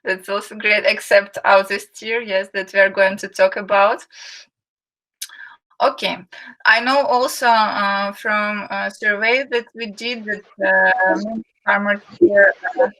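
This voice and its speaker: A woman from Ukraine who speaks English as a hosts an online webinar through a computer mic. Her voice is bright, and she talks slowly, pausing often on "uh" as she greets viewers. She reads their chat messages aloud in a friendly way. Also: medium pitch, fairly even intonation, low-quality recording. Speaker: webinar host, marketing and sales manager. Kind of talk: live webinar hosting, chatting with viewers.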